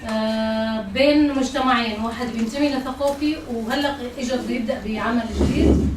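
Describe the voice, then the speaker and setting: A woman's voice: a little high, steady tone, rapid-fire. A woman from Syria, a native Arabic speaker with a Syrian accent, talking spontaneously to a group as a co-organiser. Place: echoey room at a group event. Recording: echoey, distant mic, clear background noise.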